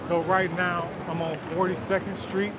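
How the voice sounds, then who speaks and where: A man's voice: high voice, normal speed. Chatty man walking busy city streets. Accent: Southern US. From Detroit, Michigan. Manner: relaxed, chatty, answers viewer comments, often says "man". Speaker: livestream host. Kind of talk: casual live-stream chat while walking. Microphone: phone held while walking. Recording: street noise. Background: city traffic and crowd sounds.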